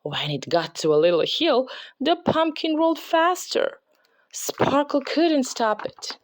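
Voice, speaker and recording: A woman narrates a picture book for children. She takes her time, with very animated intonation and a dramatic touch. The sound is close and dry. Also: sits high, measured pace, no echo. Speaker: storybook reader. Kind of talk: expressive children's read-aloud narration.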